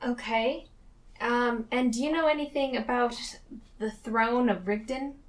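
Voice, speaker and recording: A woman's voice: on the high side, unhurried, distant mic, lightly acted, rough recording. A woman playing an earnest, hesitant female seer in a tabletop fantasy game, polite and tentative as she questions others.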